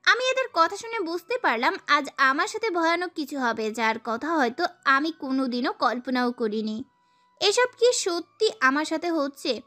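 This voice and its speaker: A woman narrating a dramatic first-person story as a schoolgirl heroine, in an expressive way. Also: high voice.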